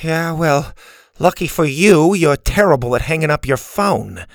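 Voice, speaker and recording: A man's voice: on the high side, animated, a little slow, dry recording, studio quality, pitched above the performer's own voice. A man voicing a sarcastic older warrior grandmother in an adventure story, commanding and dryly scolding.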